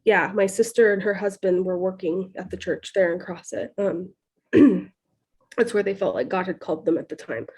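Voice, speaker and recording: A woman's voice: on the low side, moderate pace, darkish tone, slightly rough. Hesitant woman laughing through her answers. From California. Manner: hesitant, full of "like" and "um", laughing often. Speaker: podcast guest, author. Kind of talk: candid casual interview talk.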